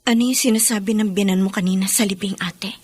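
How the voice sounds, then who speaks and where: An actress playing a concerned, inquisitive younger sister in a radio drama, with an earnest manner. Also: female voice, medium pitch, fairly even intonation, quick pace, a little mellow, breathy edge, natural voice. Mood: curious, probing. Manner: earnest, questioning. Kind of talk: Tagalog radio drama acting.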